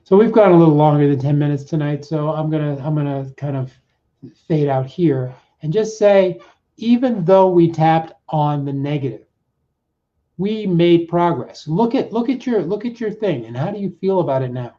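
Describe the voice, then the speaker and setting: A man working as a coach, leading a guided self-help exercise in short, deliberate, repeated phrases with pauses between them. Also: on the high side, expressive. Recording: dull, muffled lo-fi audio.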